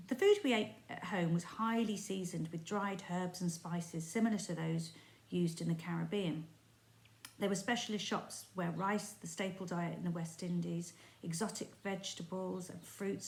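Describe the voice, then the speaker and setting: A woman teacher reading a chapter of a book aloud in a steady, expressive storytelling voice. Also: lowish voice, vocal fry. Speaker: primary school teacher. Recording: roomy echo.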